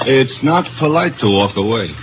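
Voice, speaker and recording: A man's voice: mid-range voice, lively intonation, moderate pace, natural voice. A man playing a menacing American henchman who talks in a laconic, mock-polite, threatening way in a 1950s radio drama. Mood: cool menace. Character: menacing, smooth-talking gangster henchman. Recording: muffled, noisy 1950s radio recording.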